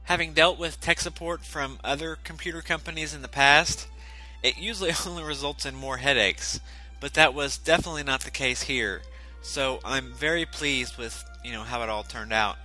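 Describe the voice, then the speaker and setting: A man's voice: medium pitch, steady tone, moderate pace, pushed and bright, rough recording. A man hosting a podcast, talking in an earnest, apologetic way and sounding slightly congested from allergies, reading through his notes. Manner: earnest, apologetic, reads notes with filler "you know". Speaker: podcast host. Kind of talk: casual scripted podcast talk. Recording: dry close recording, faint music.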